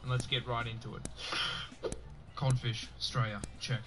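A man reading a scripted introduction as the host of a beatbox video, with an even, announcer-like delivery. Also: medium pitch, unhurried, heavy background noise.

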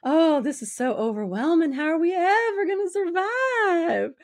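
A woman voicing a melodramatic Southern belle in an American Southern accent, with breathy, drawn-out wails. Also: extremely high pitch, lively intonation, pitched above the performer's own voice. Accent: American Southern, put on.

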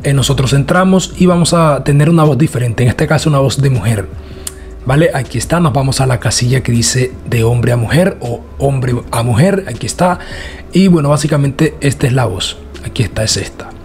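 A man narrating a casual software tutorial in a chatty, repetitive way. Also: mid-range voice, brisk, slight background noise. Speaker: tutorial host.

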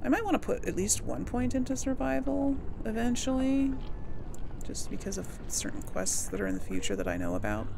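A woman's voice: on the high side, big pitch swings, brisk, gravelly, warm tone, slight background noise. A woman giving casual gameplay commentary, thinking aloud and musing to herself as she plays. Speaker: game streamer, player of a role-playing game.

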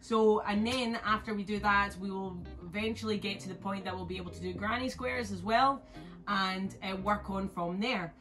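A woman's voice: mid-range voice, normal speed, music in the background. A woman in her thirties with a Scottish accent, a crochet teacher explaining things step by step in a friendly way. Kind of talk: promotional class introduction. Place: indoor yarn studio with shelves.

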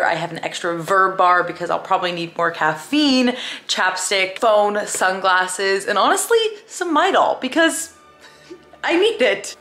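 A woman's voice: lowish voice, a bit bright, echoey room. A woman in her twenties chatting casually and upbeat to camera as a vlogger. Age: 20s. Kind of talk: casual vlog chat to camera.

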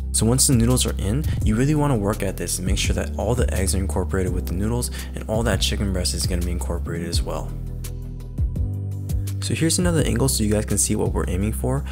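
A man hosting a cooking video, narrating steps in a relaxed, chatty way with self-deprecating asides. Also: lowish voice. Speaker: cooking channel host. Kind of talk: casual cooking voice-over. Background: guitar music.

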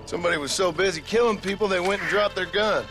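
An actor playing a dry, hardened gunslinger with an American Western accent and a laconic manner in a video game. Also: male voice, high voice, fast talker, over music, lightly acted. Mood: deadpan. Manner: laconic, deadpan.

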